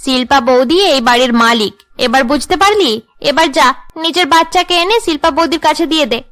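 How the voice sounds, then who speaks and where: Woman acting a shrill, bossy cartoon villainess. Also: moderate pace. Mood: angry, threatening. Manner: imperious, sharp-tongued. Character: haughty, bossy, scheming young women of the household. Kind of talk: Bengali cartoon drama dubbing.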